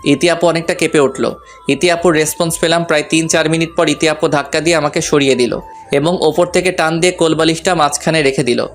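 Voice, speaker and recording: A man's voice: a little high, steady tone, fairly quick, soft background music. A man narrating a first-person romantic story in a playful, colloquial way.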